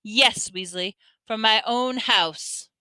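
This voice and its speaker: A woman's voice: sits high, sing-song, brisk, pushed and bright, no room sound, voice pushed up, exaggerated voice. A woman reading aloud, voicing a stern, strict older female professor with a clipped, reproving manner. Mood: furious.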